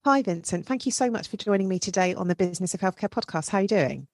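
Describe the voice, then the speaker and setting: A woman's voice: mid-range voice, lively intonation, quick pace. A woman hosting a podcast, asking questions in a friendly, chatty way. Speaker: podcast host. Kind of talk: podcast interviewing.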